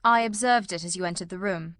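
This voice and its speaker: A woman voicing a shrewd, self-assured male detective in an audiobook, with a brisk, precise and dryly witty manner. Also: fairly low pitch, a bit bright, dry recording, natural voice. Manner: brisk, precise, dry, commanding. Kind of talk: audiobook character voice.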